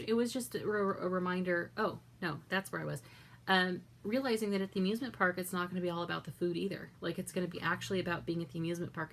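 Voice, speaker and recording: A rambling woman talks to her vlog audience in a raspy, gravelly voice that sits on the low side. She circles back and corrects herself often, with faint room ambience in the background. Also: a bit bright, a little hiss or hum. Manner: rambling, self-correcting, lots of likes and ums. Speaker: vlogger. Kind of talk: casual chat.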